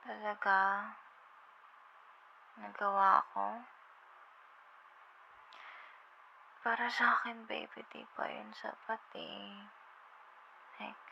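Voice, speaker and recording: Very slow, sleepy Filipino girlfriend acted by a woman. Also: mid-range voice. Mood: drowsy, tender. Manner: drowsy, halting, murmuring, tender. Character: sleepy, affectionate young girlfriend on a late-night call. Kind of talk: intimate ASMR girlfriend roleplay. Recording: muffled, with clear background noise.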